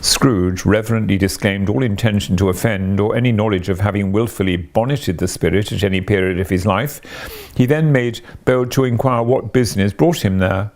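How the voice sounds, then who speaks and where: A man reading classic fiction aloud as an audiobook, with measured, dramatic phrasing. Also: lowish voice, darkish tone. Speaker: story reader.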